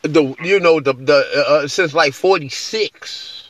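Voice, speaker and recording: A man in a conversational religious discussion, talking haltingly and repeating his phrases. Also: a little high, fairly bright tone. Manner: halting, repeats phrases, often checks with "you know". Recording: dull, lo-fi call audio.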